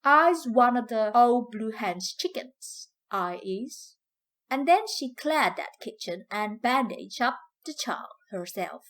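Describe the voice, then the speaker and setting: Casting: female reader as older Southern US freedwoman, higher-pitched, unhurried, proud and fiery, emphatic dramatic storybook dialect. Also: fairly bright tone, heavily acted. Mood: proud, indignant.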